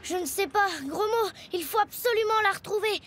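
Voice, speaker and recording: High-pitched plucky cartoon boy. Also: a little fast. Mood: earnest. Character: plucky young boy turned Egyptian prince. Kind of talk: French cartoon voice acting. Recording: music under the voice.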